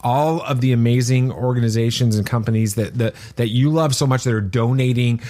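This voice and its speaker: A man's voice: medium pitch, a little fast, mellow. A middle-aged man hosting a pop culture podcast in a chatty, promotional way. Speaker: podcast host.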